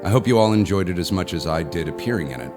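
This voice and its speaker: Very deep-voiced man over music. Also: expressive, fast talker. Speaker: actor thanking listeners. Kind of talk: scripted sign-off.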